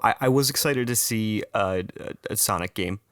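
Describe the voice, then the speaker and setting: A man with a raspy voice, chatting casually as a podcast co-host and repeating words as he thinks aloud. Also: fairly low pitch, normal speed, a bit bright, dry recording, clean studio sound. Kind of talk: casual chat.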